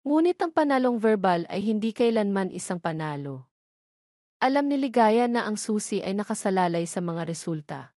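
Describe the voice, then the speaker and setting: A woman's voice: mid-range voice, very animated, normal speed, darkish tone, no room sound, studio quality. A woman reading a scripted story as its narrator.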